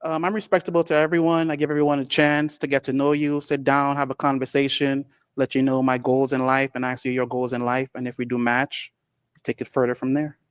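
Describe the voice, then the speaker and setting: A man with a Trinidadian accent answering dating show questions in a polite, earnest way. He speaks quickly in a smooth, clear voice and sounds dry and close. He keeps repeating courteous compliments. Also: mid-range voice, little pitch movement, no echo. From Trinidad and Tobago. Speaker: police officer contestant.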